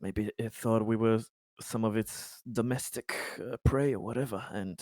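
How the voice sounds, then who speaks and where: A man playing a tabletop roleplaying game, improvising conversation in character with a polite, formal and halting manner. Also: fairly low pitch, a little mellow, no echo.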